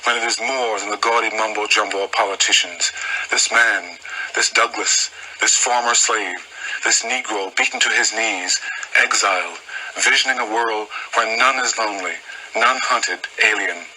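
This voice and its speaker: A man's voice: fairly low pitch, normal speed, pushed and bright, slight background noise, low-quality recording. A man reciting poetry solemnly, with emphatic short phrases. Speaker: poetry reader.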